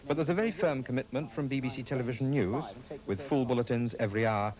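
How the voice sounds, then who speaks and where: A man's voice: mid-range voice, fast, crowd noise, poor audio. A British man reading scripted narration as a television reporter.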